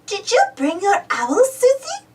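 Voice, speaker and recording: A woman voicing a sweet, curious, excitable little girl pig in a children's storybook read-aloud. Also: extremely high pitch, a little slow, distant mic, a little hiss or hum, pitched above the performer's own voice, full character voice. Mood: excited, eager. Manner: exclaiming, childlike, animated.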